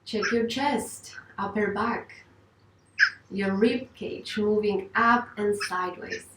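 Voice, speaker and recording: Female yoga teacher, gentle and reassuring guided relaxation, roomy sound, distant mic. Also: medium pitch, slow pace, noisy background. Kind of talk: guided meditation instruction.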